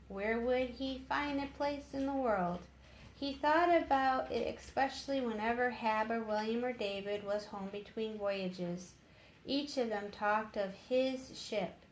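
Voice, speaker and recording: Woman story-time narrator, slightly high voice, roomy sound with a little noise. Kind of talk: storybook read-aloud narration. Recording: roomy echo with faint background noise.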